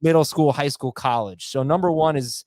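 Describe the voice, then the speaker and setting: A man's voice: fairly high pitch, normal speed, darkish tone, no echo, studio quality. A man co-hosting a podcast, joking and self-deprecating, with many fillers. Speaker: podcast co-host. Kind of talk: casual podcast chat.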